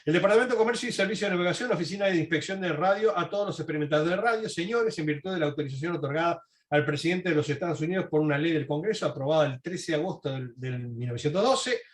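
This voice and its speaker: Echoey, higher-voiced man lecturing haltingly. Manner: halting, with frequent self-corrections and throat clearing. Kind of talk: history presentation, reading out translated documents and commenting. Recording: echoey, roomy sound.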